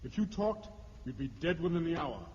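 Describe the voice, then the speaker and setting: A man's voice: a little high, big pitch swings, normal speed, a little mellow, a little hiss or hum. A man playing a grim, commanding vigilante hero and fatherly mentor in a comic book drama, solemn and authoritative. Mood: solemn, stern. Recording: long reverb, muffled, music underneath.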